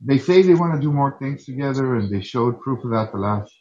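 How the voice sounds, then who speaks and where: A man calling in to a stream, calm and reassuring in casual chat. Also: mid-range voice, a little fast, dull audio. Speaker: caller.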